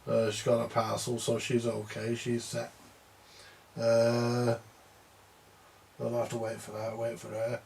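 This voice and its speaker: A man's voice: medium pitch, monotone, measured pace, vocal fry, pauses often, darkish tone, a little hiss or hum, poor audio. An older man who repairs vintage audio gear, rambling and grumbling in a casual monologue, repeating himself and swearing now and then.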